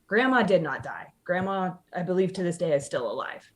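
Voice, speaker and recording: Candid, sweary woman storyteller, slightly low voice. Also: lively intonation, brisk. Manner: acts out quoted dialogue. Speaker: podcast guest telling a personal story. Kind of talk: casual conversational storytelling.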